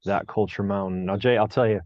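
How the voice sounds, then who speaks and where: A man's voice: lowish voice, fairly even intonation, a little fast, dry recording. A man hosting a podcast, interviewing in a conversational style. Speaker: podcast host. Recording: dull, lo-fi close recording.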